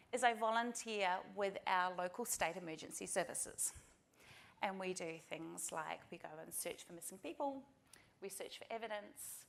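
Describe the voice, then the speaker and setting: Woman, New Zealand accent, middle-aged, conference speaker, earnest and practical, mid-range pitch. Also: a bit bright. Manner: earnest, practical, direct to the audience. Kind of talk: conference talk. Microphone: presentation mic.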